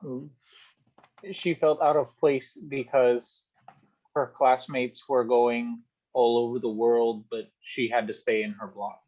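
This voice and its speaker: A male student giving hesitant answers to questions, pausing to think as he goes. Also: mid-range voice, little pitch movement, a little mellow, highs cut off. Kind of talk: halting spoken answers.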